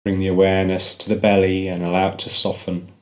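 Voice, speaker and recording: A man narrating a guided meditation, giving gentle, measured instructions with pauses between phrases. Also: low-pitched, completely flat delivery.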